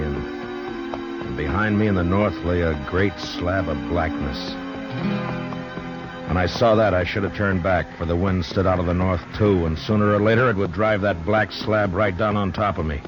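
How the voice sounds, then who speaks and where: A man plays a sober frontier marshal with a deep voice and a measured, plain-spoken way. It is a dull vintage radio drama recording with music loud behind him. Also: natural voice. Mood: grim, reflective. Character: steady, sympathetic frontier marshal recounting his travels. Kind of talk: 1950s radio drama acting, first-person narration.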